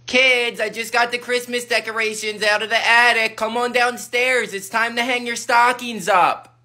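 A woman's voice: fairly high pitch, steady tone, moderate pace, pushed and bright, pitched below the performer's own voice. A woman voicing a stern, impatient mother who scolds her kids in a comedy skit. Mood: bossy. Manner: curt, scolding. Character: stern, impatient mom, dismissive.

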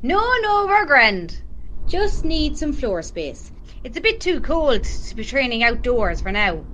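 A woman's voice: on the high side, big pitch swings, measured pace, sharp tone, slight background noise, full character voice, low-quality recording. A woman playing an upbeat, bossy Irish leader of a secretive group in a radio drama. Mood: bright, polite. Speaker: actor in an amateur radio drama.

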